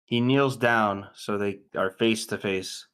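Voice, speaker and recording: Guy reading script directions, kinda low voice, measured narration. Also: steady tone, fairly bright tone, smooth. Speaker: stage directions reader.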